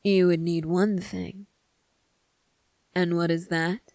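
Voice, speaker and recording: A woman playing a cunning, self-assured young prince in an ASMR roleplay, speaking in a measured, formal, teasing way. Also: lowish voice, little pitch movement, a little mellow, no room sound. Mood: calm, businesslike.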